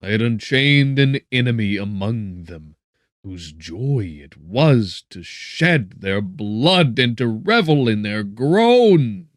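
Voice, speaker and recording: A man narrating a gothic horror novel in a brooding, theatrical manner, swelling with emphasis and dropping to hushed tones. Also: mid-range voice, big pitch swings, slow, no echo, clean studio sound. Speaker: audiobook narrator.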